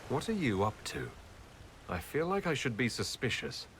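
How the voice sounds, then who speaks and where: A wry young noble hero for a fantasy game, polite and gently teasing. The voice is mid-pitched with lively movement, over faint background noise. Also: male voice, moderate pace, creaky. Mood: curious, amused. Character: courteous, wry young male human noble leader. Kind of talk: video game cutscene acting.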